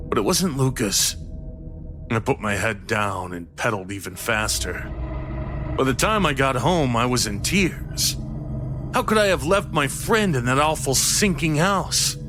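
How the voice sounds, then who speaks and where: A man narrating a first-person horror story in a dramatic storytelling style. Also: medium pitch. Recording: music behind the voice. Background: prominent background music.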